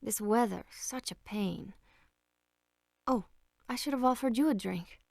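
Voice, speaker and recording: A woman's voice: a little high, measured pace, vocal fry, dry recording, clean studio sound. An actress playing a chatty, reassuring young woman in a video game cutscene.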